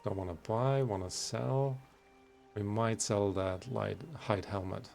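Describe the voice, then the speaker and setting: A man giving casual let's play commentary, musing aloud in unhurried asides as he hosts a gaming video. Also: lowish voice, gravelly, a little mellow, faint music behind.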